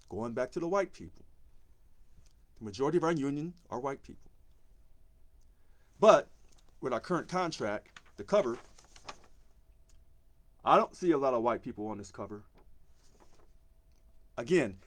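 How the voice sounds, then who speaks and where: Deep-voiced man giving an emphatic campaign pitch. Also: a bit bright. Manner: emphatic, repeats key phrases for stress. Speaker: union presidential candidate. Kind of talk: campaign speech on a live stream.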